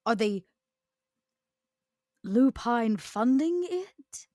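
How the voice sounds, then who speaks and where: A woman's voice: on the high side, expressive, mellow, no echo. Arch, formal woman in close dry sound. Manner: formal, arch, measured wording. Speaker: player in a tabletop role-playing game. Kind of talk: in-character improvised dialogue.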